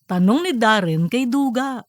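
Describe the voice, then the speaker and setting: A woman's voice: on the high side, lively intonation, measured pace, dry recording, studio quality. A woman reading scripted third-person narration for a comic fiction audiobook.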